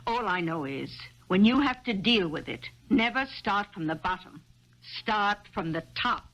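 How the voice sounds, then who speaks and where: A woman's voice: mid-range voice, animated, moderate pace, natural voice. A woman playing a homely, knowing Russian landlady, emphatic and folksy, in a 1970s radio drama. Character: homely, worldly-wise Russian landlady, brisk and advising. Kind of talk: old-time radio drama acting. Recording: dull 1970s radio recording.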